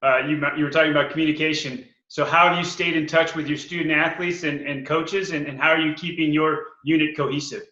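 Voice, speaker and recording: Bright-voiced man hosting, echoey dull audio. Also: on the high side, steady tone, brisk, few pauses. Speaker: show host. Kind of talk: radio-style interview hosting.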